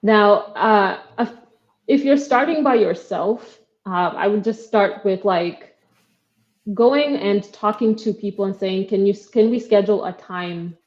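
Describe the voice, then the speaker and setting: A woman's voice: medium pitch, a little mellow. Kenyan woman on a slightly dull line. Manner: earnest, advice-giving, frequent ums and likes. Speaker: startup co-founder, guest. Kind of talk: podcast interview answers.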